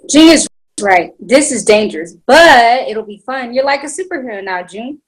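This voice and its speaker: A woman reading the part of an eager teenage girl sidekick at a table read, in an excited tone. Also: a little high, moderate pace, voice pushed up, lightly acted.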